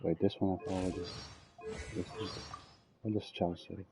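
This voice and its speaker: A young man giving casual, halting gameplay commentary as he thinks aloud. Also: low-pitched, level tone, creaky, noisy background. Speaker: game streamer.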